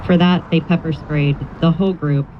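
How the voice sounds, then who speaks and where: A man with a slightly high, flat voice reads a written testimony at an outdoor rally. He is plain and measured, and the recording is dull. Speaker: rally speaker reading a testimony. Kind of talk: reading a first-person account aloud. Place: outdoor rally near a road. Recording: dull lo-fi audio, faint vehicle noise.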